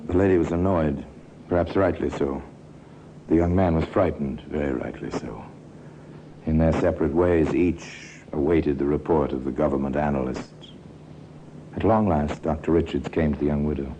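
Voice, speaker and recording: A man performing as the grave, wry narrator of a 1950s radio crime drama, measured and ominous. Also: rumbling low, mellow, rough recording. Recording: hissy 1950s radio recording.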